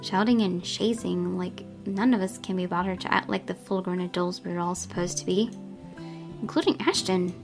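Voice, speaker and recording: A woman's voice: fairly low pitch, fairly even intonation, normal speed, creaky. A woman reading a visual novel aloud as first-person narration. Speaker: gaming channel host reading aloud. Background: music.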